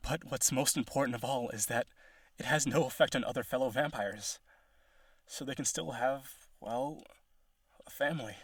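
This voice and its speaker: Man acting a husky, needy vampire aristocrat. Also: medium pitch, moderate pace, a bit bright, dry recording. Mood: wistful. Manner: eager, coaxing, theatrical. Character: needy, possessive male vampire aristocrat, wooing eagerly. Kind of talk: romantic audio roleplay, spoken to listener.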